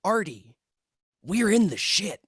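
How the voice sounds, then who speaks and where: A man's voice: high voice, big pitch swings, slow pace, a bit bright, husky, dry recording, studio quality, exaggerated voice. A man playing an intense, earnest young amateur paranormal investigator in a script read, self-important and conspiratorial. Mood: excited, intense. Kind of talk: table read of a TV pilot script.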